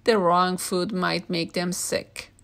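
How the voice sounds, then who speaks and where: A woman's voice: on the low side, steady tone, takes it slowly, gravelly, fairly bright tone, exaggerated voice. Woman voicing a stern, scolding male zookeeper. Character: stern male zookeeper chasing a naughty monkey.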